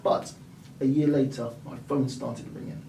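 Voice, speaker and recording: A man guesting on an interview show, telling stories with lively quoted voices, from the United Kingdom. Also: medium pitch, moderate pace, slight background noise. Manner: animated storyteller, acts out quoted voices. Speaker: property investor and mentor. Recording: echoey room, mic at a distance.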